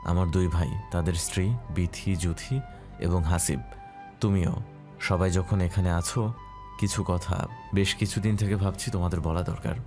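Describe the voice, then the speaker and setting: A man acting a calm, dutiful elder brother and head of the family in an audio story, speaking in a measured, authoritative way. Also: low voice, natural voice. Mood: calm, earnest. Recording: music clearly playing behind.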